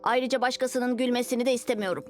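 A woman's voice: on the high side, steady tone, quick pace, faint music behind, natural voice. A woman playing a proud, self-conscious young superhero boy in a dubbed children's cartoon, earnest and a little defensive. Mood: reluctant, embarrassed. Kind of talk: animated children's cartoon dubbing.

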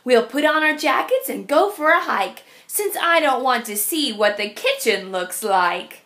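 A woman reading a rhyming picture book aloud to young children in a deliberate, sing-song way, like a teacher. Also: sits high, lively intonation, sharp tone.

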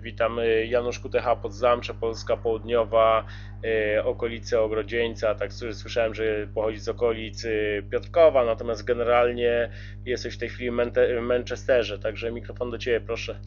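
A man's voice: medium pitch, flat delivery, moderate pace, slight background noise. A man chatting courteously and casually as a radio operator, using radio jargon. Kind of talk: casual radio contact chat. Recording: dull lo-fi CB radio audio.

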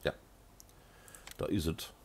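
A man with a Rhine-Hessian German accent, hoarse from a sore throat and blocked nose, casually commenting on his farming game and mumbling with many ums. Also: slow pace, slight background noise. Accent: Rhine-Hessian German dialect. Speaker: let's play host.

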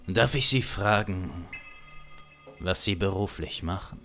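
A man's voice: deep voice, takes it slowly, pushed and bright, soft background music, heavily acted. A man playing an awkward, obsessive male artist in an improvised radio play, speaking in a stilted, abrupt way. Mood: awkward, eager. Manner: stilted, abrupt, forced laughing. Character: awkward, intense, obsessive male artist with nervous forced laughter.

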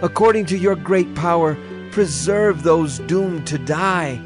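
A man's voice: a little high, slow pace. A man giving a solemn, declamatory dramatized reading of scripture as an audio Bible narrator. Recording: music under the voice. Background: music.

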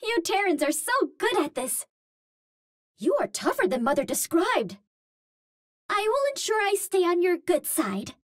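A cute young female Martian explorer for a video game. Her voice is extremely high and girlish, with lively melodic swings. She speaks at an unhurried pace, choosing formal, precise words with earnest politeness. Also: no room sound, clean studio sound, exaggerated voice. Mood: admiring, grateful. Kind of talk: video game hero callouts.

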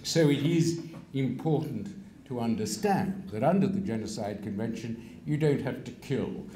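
An older man giving a keynote lecture from a lectern, measured and pausing, with wry asides. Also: mid-range voice, animated, dark tone, a little hiss or hum. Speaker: keynote speaker. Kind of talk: public lecture. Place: stage of a large hall. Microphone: stand mic at a lectern. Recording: echoey hall sound.

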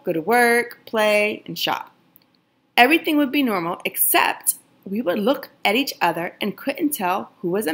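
A woman teacher reading a picture book aloud to children, gently addressing her young listeners and asking them questions. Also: vocal fry. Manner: expressive, lively pitch swings on questions.

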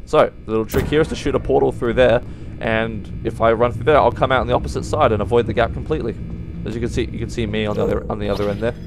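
A young man giving casual gameplay commentary, excitable and prone to sudden yelps, his throat slightly dry and hoarse. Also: medium pitch, fast, noisy background. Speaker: let's play gamer. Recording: voice over game music.

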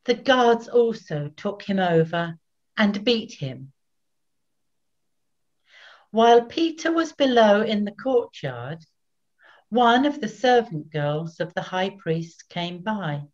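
An older woman reading scripture as narrator in a deliberate, solemn, scripted manner. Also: mid-range voice, very animated, darkish tone, lo-fi sound. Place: small plain room at home.

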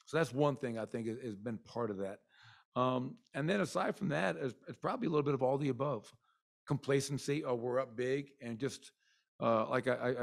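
A man's voice: medium pitch, gravelly. Middle-aged man, basketball coach, press conference, rambling with fillers, candid, desk mic. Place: arena press room. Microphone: desk mic in front.

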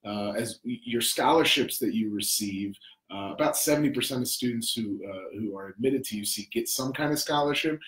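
Measured man speaking in a roomy space. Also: medium pitch. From Cincinnati. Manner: measured, formal phrasing. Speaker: assistant director of admissions. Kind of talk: informational panel talk. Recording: echoey conference room.

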